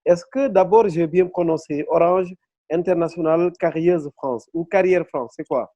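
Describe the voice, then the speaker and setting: Male interview host from Senegal, formal and measured, dark tone, dry and lo-fi. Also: on the high side, no echo. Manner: formal, measured, with frequent fillers.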